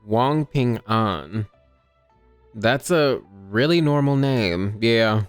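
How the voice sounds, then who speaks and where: Game streamer: unhurried, smooth voice, wry casual reactions, some swearing, faint music behind. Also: medium pitch, expressive. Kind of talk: casual playthrough commentary.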